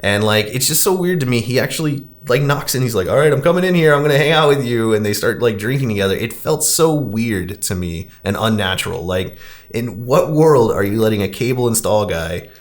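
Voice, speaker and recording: A man's voice: medium pitch, animated, fairly quick. A man co-hosting a podcast, chatting casually and recapping in a conversational way peppered with "like". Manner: conversational, heavy use of "like". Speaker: podcast host. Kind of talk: casual movie discussion, recapping a plot.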